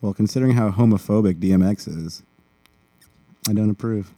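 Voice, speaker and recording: A man's voice: on the low side, fairly quick, warm tone, no echo. A man from New Jersey chatting casually as a co-host, blunt and wry. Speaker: podcast co-host. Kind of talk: casual chat.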